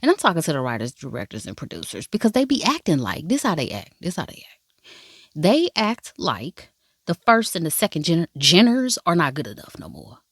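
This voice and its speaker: A woman's voice: deep voice, wildly swooping pitch, brisk, fairly bright tone, slightly rough. A woman vlogger gives her first impressions of a drama. She is chatty and emphatic, playfully swears, and calls her viewers "baby." The sound is dry and close, with no room echo. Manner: talks straight to viewers, calling them baby and y'all. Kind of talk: casual first-impressions review.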